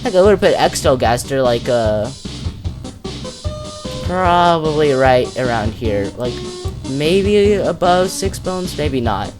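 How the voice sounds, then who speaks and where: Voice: medium pitch, expressive, takes it slowly. Casual, rambling commentator over guitar music. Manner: hesitant, thinking aloud, informal slang. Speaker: video creator presenting a character ranking. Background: music, plucked guitar.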